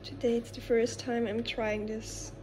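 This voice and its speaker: A young woman, a university student, talking in a casual diary-style vlog. She speaks softly and hesitantly, often dropping to a gentle, breathy whisper. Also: a little high, level tone, a little slow, background noise. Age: young adult.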